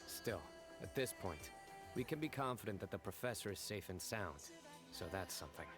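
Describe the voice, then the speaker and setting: An actor playing a wary adult traveler, calm and cautious. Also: male voice, on the low side, moderate pace, lightly acted. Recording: game dialogue with music behind.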